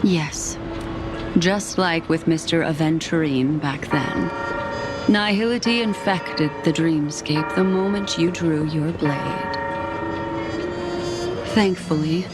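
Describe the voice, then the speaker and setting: An actress voicing a calm, composed woman who speaks in a measured, reassuring way, in a game scene with music behind her. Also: low-pitched. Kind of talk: game voice acting. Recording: game dialogue over music.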